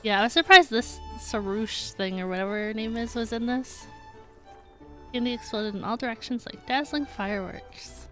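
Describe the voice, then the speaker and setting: A woman's voice: a little high, normal speed, pushed and bright, faint music behind, poor audio. A woman streaming a video game, chatting casually and reading the game text aloud. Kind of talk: casual gameplay commentary.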